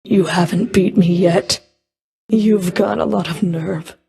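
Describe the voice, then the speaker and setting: A woman playing a menacing, contemptuous fury of the underworld in a video game, with a husky, breathy voice and a deliberate, threatening delivery. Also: lowish voice, steady tone, dark tone, soft background music, exaggerated voice. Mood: commanding defiance. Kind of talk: video game combat voice lines.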